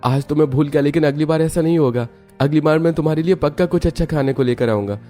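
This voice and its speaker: A man's voice: a little high, fast, mellow, soft background music, close to an everyday voice. A man voicing an apologetic young husband in a family cartoon, placating and earnest. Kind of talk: Hindi family cartoon voice acting.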